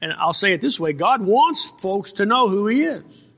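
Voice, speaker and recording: A man delivers a Bible sermon in a fairly high, bright and forceful voice. He moves haltingly, repeating phrases for emphasis and putting rhetorical questions to his listeners. His words ring out with the long reverb of a big room. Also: lively intonation, vocal fry. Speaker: preacher. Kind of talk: sermon, verse-by-verse Bible exposition. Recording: reverberant hall with long echo.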